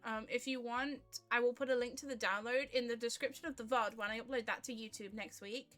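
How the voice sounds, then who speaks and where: A woman's voice: a little high, fairly even intonation, brisk, fairly bright tone, soft background music. A woman livestreamer chatting casually with viewers, in a chatty, gushing way. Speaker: streamer, indie vtuber.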